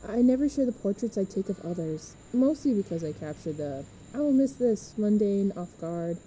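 A woman playing an easygoing, reassuring teenage girl who talks gently and casually in a podcast drama. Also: medium pitch, expressive, normal speed, a little hiss or hum, close to an everyday voice, rough recording. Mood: reflective, reserved. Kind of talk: fiction podcast acting.

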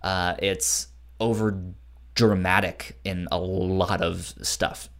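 A man co-hosting a podcast, talking casually and haltingly, often stammering over word starts. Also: low voice, fairly even intonation, a bit bright. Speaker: podcast co-host. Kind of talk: casual book discussion chat.

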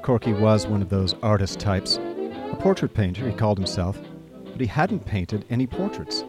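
A man playing a chatty, idle young gentleman who narrates a comic radio drama in breezy, old-fashioned upper-class phrasing. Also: lowish voice, animated, moderate pace, music in the background, close to an everyday voice. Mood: amused explaining.

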